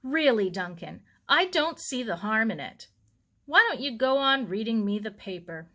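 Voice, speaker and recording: A woman voicing a sensible middle-aged aunt, kindly but brisk, with a measured, gently chiding manner. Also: a little high, animated. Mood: calm chiding.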